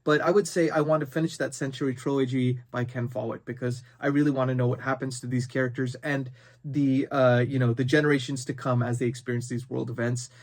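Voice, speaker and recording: Male book vlogger, mid-pitched voice, conversational and unscripted, thinks out loud while addressing viewers. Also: steady tone, brisk. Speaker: book review video host. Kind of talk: casual unscripted book chat to camera.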